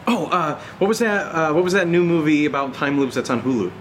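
A man playing an easygoing, slightly defensive friend in a naturalistic audio drama, with hesitant, deadpan delivery. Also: a little high, normal speed, slight background noise, natural voice. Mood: bantering. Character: easygoing, defensive man named Steve, bantering with his friend.

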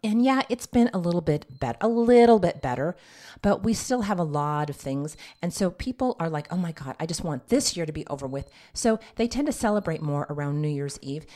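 A woman's voice: on the low side, lively intonation, fairly quick, dark tone. A woman hosting a health podcast as a nurse practitioner, sounding nasal and congested, chatting straight to her listeners. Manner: chatty, addresses listeners directly as ladies. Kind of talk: casual health podcast talk.

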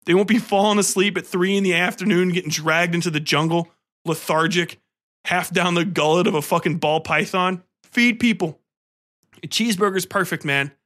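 A man's voice: high-pitched, fairly even intonation, moderate pace, a bit bright. American man ranting casually, profane and exasperated. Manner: slangy, conversational. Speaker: podcast host. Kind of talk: casual comedic rant.

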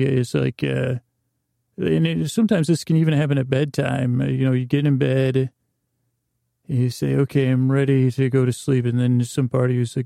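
A man hosting a bedtime podcast in a creaky, lulling tone, meandering and halting with long pauses. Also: medium pitch, mellow, dry recording, studio quality. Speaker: podcast host. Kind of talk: rambling bedtime-story podcast monologue.

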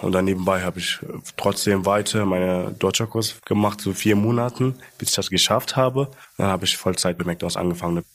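A young man from Libya, a native Arabic speaker, talking haltingly in simple German with an Arabic accent and small grammar slips as an interview guest. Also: low voice, steady tone, a bit bright. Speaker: shift leader, guest. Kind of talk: casual interview.

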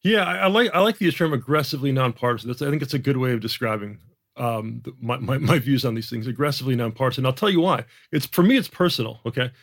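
Emphatic man with a gravelly voice. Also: medium pitch, animated, quick pace. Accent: general American. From Jamaica. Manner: emphatic, repeats words, rhetorical asides. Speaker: economist guest. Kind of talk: podcast interview, explaining.

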